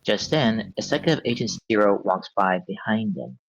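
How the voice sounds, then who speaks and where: A man's voice: lowish voice, moderate pace, creaky, no room sound, lo-fi sound. A man narrating a script's stage directions at a table read.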